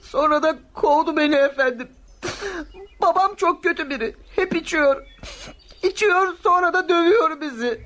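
Small boy, high pitch, meek, respectful, plaintive, earnest, radio drama. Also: moderate pace, fairly bright tone. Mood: hurt. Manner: polite, plaintive, childlike. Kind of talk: radio theatre acting.

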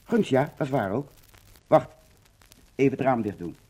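An actor playing a hopeful but grumbling older Dutch husband in wartime, earnest, in 1950s radio drama style. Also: male voice, medium pitch, expressive, moderate pace, warm tone, husky. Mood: conspiratorial. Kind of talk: 1950s radio docudrama acting. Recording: old 1950 radio recording, faint hiss.

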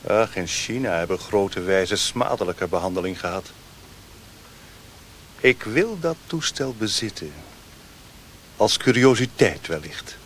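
A man playing a courteous, commanding Chinese lord in a radio play, speaking in a formal, measured, stately manner. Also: lowish voice, expressive. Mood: curious. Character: courteous, commanding Chinese lord, curious about Western science. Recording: hissy old radio play recording.

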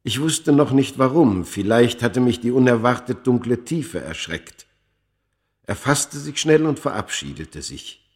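A man playing a proud ancient Egyptian who narrates his own story in a radio play, solemn, measured and dramatic. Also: medium pitch, animated, lightly acted. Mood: uneasy. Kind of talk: literary first-person narration in a radio play.

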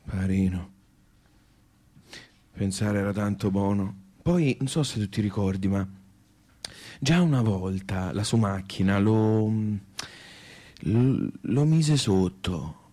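An actor playing a mournful, resigned provincial man with a Tuscan accent, halting and repeating himself in naturalistic stage dialogue. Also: lowish voice, lively intonation, dark tone. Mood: wistful, rambling. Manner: halting, repetitive, trailing off.

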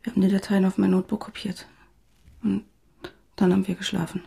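A captive journalist answers in short, strained words. Her voice is dark, husky and heavily creaky, and it stays flat. Music plays under the radio drama scene. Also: mid-range voice, brisk, heavily acted. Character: captured female journalist, frightened but defiant.

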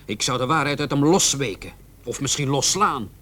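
A man's voice: mid-range voice, lively intonation, moderate pace, a bit bright. A man acting in a radio play as a zealous, cynical young policeman, insistent and sarcastic. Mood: fervent. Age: young adult.

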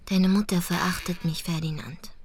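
An actress playing a lonely, bitter woman in a German radio drama, brooding in a hushed, halting monologue. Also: lowish voice, fairly even intonation, a little hiss or hum, lightly acted. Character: lonely, bitter, brooding woman addressing her absent brother.

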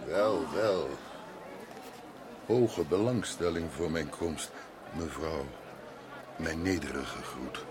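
An actor playing a calm, self-assured wandering prophet in a radio drama, gravelly, measured and courteous with gentle irony. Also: male voice, rumbling low. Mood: courteous, ironic. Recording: noisy radio play amid loud crowd.

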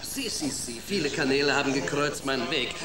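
An actor in a children's radio play voicing a chatty, wheedling rat with a mock Spanish-Italian accent and broken, foreign-peppered German. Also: male voice, medium pitch, fairly quick, a loud crowd, natural voice. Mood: subdued, frank. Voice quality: stammering. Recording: echoey, music behind the voice.

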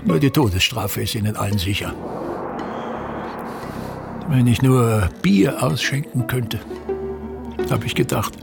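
An actor playing a pompous, embittered aging stage actor in a radio drama, declaiming grandly and with self-pity. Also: male voice, medium pitch, measured pace, creaky, long pauses, over music. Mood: bitter, brooding. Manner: grandiloquent, declaiming, self-pitying, repeats phrases. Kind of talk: theatrical German-language radio drama monologue.

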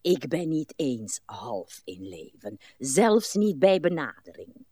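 An actress playing a weary, sharp-tongued, self-pitying old woman in a radio drama, with a querulous, dramatic delivery. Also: a bass voice, sing-song, slow, husky, no room sound, studio quality, heavily acted. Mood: weary, bitter. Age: older.

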